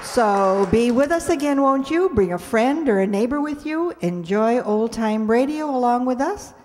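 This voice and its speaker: A woman's voice: fairly high pitch, expressive, moderate pace, a little mellow, some chatter behind, slight background noise. A woman in her sixties giving semi-scripted thanks and announcements to an audience from a stage. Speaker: member of a radio players group giving closing announcements. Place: stage of a community hall. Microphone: stand microphone on stage, recorded by audience camera. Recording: echoey hall sound.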